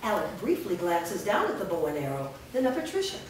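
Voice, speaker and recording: A woman's voice: fairly low pitch, very animated, brisk. A woman reading scripted stage directions aloud as the narrator of a staged reading. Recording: echoey room, far mic, clear noise.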